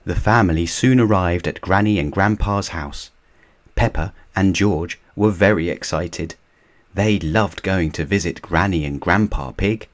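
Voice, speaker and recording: A man reading a children's picture book aloud in a gentle, measured bedtime manner. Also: deep voice. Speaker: reader of children's books.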